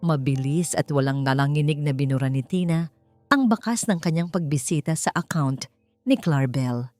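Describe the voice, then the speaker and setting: A woman with a Filipino accent narrating a scripted dramatic story in a solemn, emphatic, deliberate way. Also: sits low, dark tone, dry recording. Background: background music.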